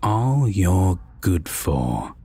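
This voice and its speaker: Vampire tyrant villain, performed by a man: very low, extremely husky, sadistic and condescending, for dark audio roleplay. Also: big pitch swings, full character voice. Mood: mocking, contemptuous. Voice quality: husky, breathy, often near whisper. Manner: menacing, slow, deliberate, condescending.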